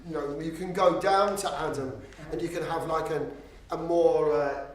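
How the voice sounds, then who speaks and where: A man who works as a theatre director, talking reflectively and giving gentle explanations about the work. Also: a little high, steady tone, normal speed, a little hiss or hum. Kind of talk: interview talk and rehearsal direction.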